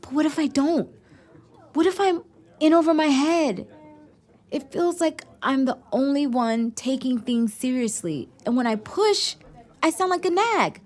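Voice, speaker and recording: An earnest teenage girl in an audio drama. Her slightly high voice speaks naturally, with sincerity and self-doubt. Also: lively intonation, measured pace, a little mellow.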